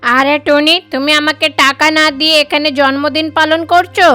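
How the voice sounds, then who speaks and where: A stern cartoon crow, voiced by a woman who lends money and forgives nothing. The voice is high, forceful and scolding, bossing everyone around. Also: steady tone, normal speed, soft background music. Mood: angry. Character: stern, grasping crow moneylender, unforgiving and bossy. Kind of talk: children's cartoon voice acting.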